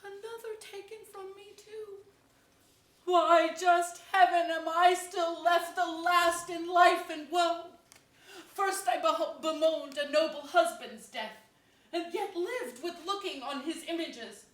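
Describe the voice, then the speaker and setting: A woman performs an aged, widowed royal mother in a Shakespearean tragedy. She laments in slow, declamatory phrases, far from the mic in an echoing theatre. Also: extremely high pitch, fairly bright tone, heavily acted. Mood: grieving. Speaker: stage actor. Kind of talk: theatrical Shakespearean staged reading. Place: indoor theatre stage. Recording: echoey theatre stage from a distance.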